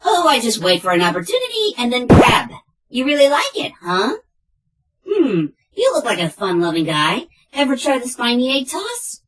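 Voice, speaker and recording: A woman's voice: on the high side, wildly swooping pitch, moderate pace, noisy background. A woman voicing a small, sarcastic, cheeky turtle minion in a comic book fan dub, mocking and exclamatory. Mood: sly. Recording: music behind the voice.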